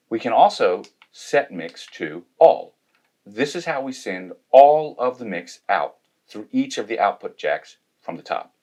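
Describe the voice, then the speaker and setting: Lowish man walking through gear settings. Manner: methodical, step-by-step explaining. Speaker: tech reviewer demonstrating gear. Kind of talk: hands-on product demonstration.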